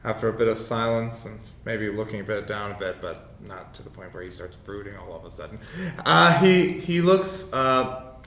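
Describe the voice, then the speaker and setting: A man's voice: on the low side, level tone, fairly quick, few pauses. A man chatting casually as a player in a tabletop game. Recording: roomy echo, clear background noise.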